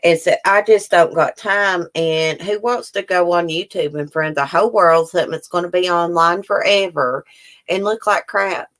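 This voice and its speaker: A plainspoken woman with a Southern country accent and a notably low voice. She talks bluntly in casual chat and does not hold back on swearing. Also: moderate pace, a bit bright. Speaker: host of the show.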